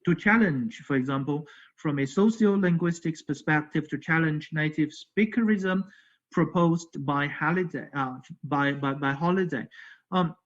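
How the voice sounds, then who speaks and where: The slightly high voice of a male academic, speaking haltingly at an online conference, heard through a muffled computer connection. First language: not English. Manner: halting, repeats words, checks with "okay" and "right". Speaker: academic presenter, language educator. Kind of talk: conference lecture. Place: virtual conference call. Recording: dull, lo-fi online audio.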